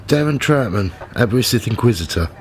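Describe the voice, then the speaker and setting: A man's voice: fairly low pitch, lively intonation, brisk, slight background noise, close to an everyday voice. A man in his fifties playing a cynical, world-weary journalist in a radio play, with a wry, dry manner. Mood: cheeky, probing. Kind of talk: radio play narration and dialogue.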